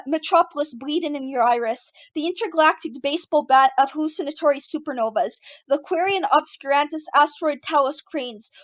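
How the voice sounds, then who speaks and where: A woman reading her own surreal poetry aloud in an incantatory, list-like way, stumbling over some words. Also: high-pitched. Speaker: poet reading own work.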